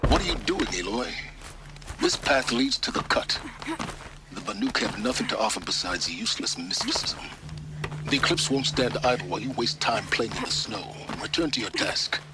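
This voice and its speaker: A man's voice: on the low side, sing-song, normal speed, over music, rough recording. An actor playing a stern, condescending man in a video game, haughty and sardonic as he scolds and gives orders. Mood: scolding. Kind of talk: dramatic game dialogue. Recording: clear background noise.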